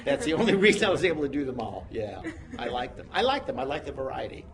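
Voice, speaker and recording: A man in his sixties from the United States, a guest chatting in an interview who tells stories in an animated, self-mocking way. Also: mid-range voice, fast, crowd noise. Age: 60s. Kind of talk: casual interview chat. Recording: noisy room sound.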